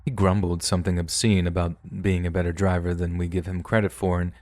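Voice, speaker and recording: A man reading true horror stories aloud in a steady, measured first-person narration. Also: low voice, no room sound.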